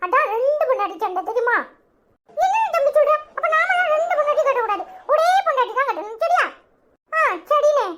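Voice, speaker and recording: A woman doing a shrill giggling young gossip. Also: sing-song, brisk, noisy background. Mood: teasing. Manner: teasing, chatty, laughing asides. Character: giggling gossipy young man heckling a family quarrel. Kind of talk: comic family drama skit.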